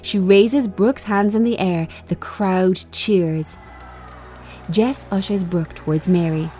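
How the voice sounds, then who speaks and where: A woman reading screenplay directions as scripted narration, in measured, short phrases. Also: mid-range voice, warm tone, faint music behind. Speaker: screenplay reader.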